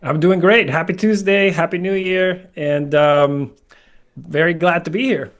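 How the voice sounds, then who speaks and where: A man's voice: on the high side, steady tone, measured pace, a bit bright. A middle-aged man from Venezuela, a guest in a news interview, talking earnestly with many fillers and restarts. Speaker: guest, crypto company co-founder. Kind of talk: casual interview talk.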